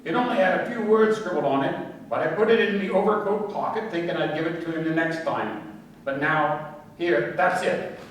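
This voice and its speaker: A man's voice: a little high, moderate pace, close to an everyday voice. Echoey, earnest working man played by an actor. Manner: respectful, colloquial, explanatory. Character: earnest, deferential working-class ex-engraver, a male police witness. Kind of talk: radio crime drama acting. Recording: echoey distant room, faint noise.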